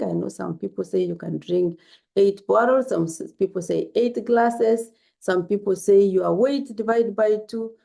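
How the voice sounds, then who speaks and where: A woman's voice: mid-range voice, vocal fry. A woman from Kenya, giving earnest, repetitive health advice in a casual vlog. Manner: earnest, repetitive, gently instructive. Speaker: health vlogger.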